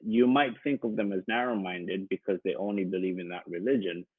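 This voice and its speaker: A man's voice: deep voice, steady tone, brisk, husky, no room sound. A man tutoring English in a casual one-to-one online lesson, explaining and encouraging, heard over a narrowband call.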